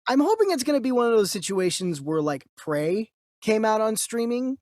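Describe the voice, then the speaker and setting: A woman's voice: fairly high pitch, sing-song, moderate pace, darkish tone, no echo, studio quality. A woman co-hosting a movie news podcast, chatting informally and thinking aloud as she goes. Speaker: podcast co-host and voice actor. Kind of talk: casual movie news discussion.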